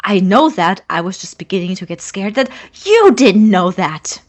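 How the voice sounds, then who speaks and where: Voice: medium pitch, very animated, normal speed, natural voice. A woman playing an anxious, suspicious young roommate and lab partner in a naturalistic audio drama, earnest and sardonic. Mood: defensive, sardonic.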